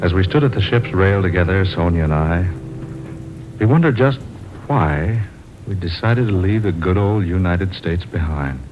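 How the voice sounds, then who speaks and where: Deep-voiced husband in old radio drama. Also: male voice, animated, normal speed. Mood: reflective. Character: male writer husband, bemused, fond, reflective narrator of travels. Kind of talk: old-time radio drama acting and narration. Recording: muffled noisy old radio recording, rain behind.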